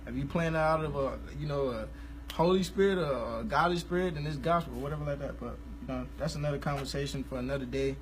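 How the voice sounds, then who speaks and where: A man, hosting a casual live stream about gospel music, talking in a relaxed, rambling, slangy way. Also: fairly high pitch, quick pace, a little hiss or hum, low-quality recording. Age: 20s.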